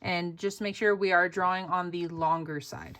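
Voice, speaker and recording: A woman's voice: on the low side, steady tone, normal speed, a bit bright. A young woman casually walking viewers through a sewing pattern step by step, upbeat and full of fillers. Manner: chatty, upbeat, many fillers like "like" and "oop". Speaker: sewing pattern designer teaching a tutorial.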